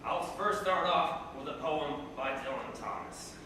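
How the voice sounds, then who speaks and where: A man reads aloud on stage as a comic storyteller, theatrical and mock-solemn. His fairly high male voice comes from a distance in a very echoey room, with clear background noise. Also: a little slow. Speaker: storyteller reading to a live audience. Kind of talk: comic literary reading on stage. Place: echoey venue before a live audience.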